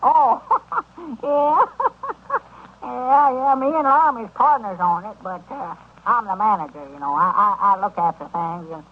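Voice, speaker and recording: An actor playing an excitable old country storekeeper with a rural Southern accent, folksy and stammering, in a 1930s radio comedy. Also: male voice, high-pitched, unhurried. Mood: chatty, proud. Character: excitable old country storekeeper turned would-be circus manager. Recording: dull, lo-fi 1930s radio recording.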